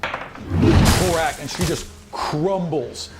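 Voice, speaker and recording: A man's voice: a little high, very slow, vocal fry, heavy background noise. A man improvising narration as game master of a tabletop fantasy game, with vivid, dramatic delivery. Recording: music behind the voice.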